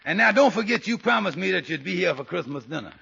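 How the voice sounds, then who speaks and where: Kindly earnest father, high-pitched, very gravelly, folksy speech, old radio comedy. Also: expressive, quick pace, pushed and bright, heavily acted. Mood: friendly, grateful. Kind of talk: 1940s radio comedy acting. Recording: dull old radio recording.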